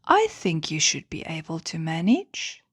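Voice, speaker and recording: A woman voicing a kind, playful female star who gives gentle encouragement in a children's bedtime story. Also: sits low, wildly swooping pitch, measured pace, slightly rough, dry recording, clean studio sound, heavily acted. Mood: reassuring. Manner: reassuring.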